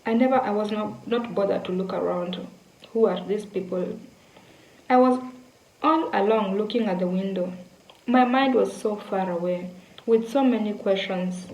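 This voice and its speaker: A woman's voice: medium pitch, moderate pace, a little mellow, roomy sound, slight background noise, low-quality recording. A young woman from Kenya casually telling a story to her viewers, repeating words and speaking to them directly. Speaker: vlogger.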